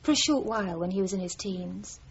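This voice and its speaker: An actress playing a composed, wry young dancer in a radio drama, with a cool, reserved way of talking. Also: female voice, medium pitch, fairly quick, husky. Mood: wry, reminiscing. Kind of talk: naturalistic radio drama acting. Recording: dull, noisy old radio broadcast.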